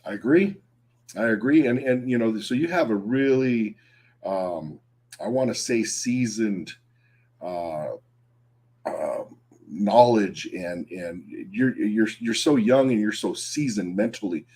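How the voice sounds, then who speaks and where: A man hosting an interview, affable and agreeable, with drawn-out fillers as he searches for words. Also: lowish voice, animated, a little mellow, slightly rough. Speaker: interview host, gym owner. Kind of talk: casual interview chat.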